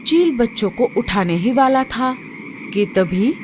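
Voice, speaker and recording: A woman narrates a children's story in a fairly high voice. Her pitch moves in a lively way while the pace stays slow. Clear noise and ambience sit behind her.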